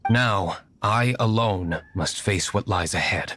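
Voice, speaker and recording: A man voicing an aloof, stoic, well-spoken young nobleman in a video game, formal and measured. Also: on the low side, noisy background. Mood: resolute. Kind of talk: video game character acting.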